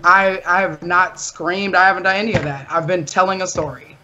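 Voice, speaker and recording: A young woman with a deep, loud voice, arguing firmly and defensively in a casual talk show. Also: fairly even intonation, a little fast, muffled recording, a little hiss or hum. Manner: firm, defensive, insistent. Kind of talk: heated casual argument.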